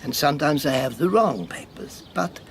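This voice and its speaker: An actor in a radio drama playing a sardonic, battle-worn European refugee with a lame leg, speaking haltingly with mocking formality. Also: male voice, fairly high pitch, expressive, creaky, a little hiss or hum. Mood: confiding, wry.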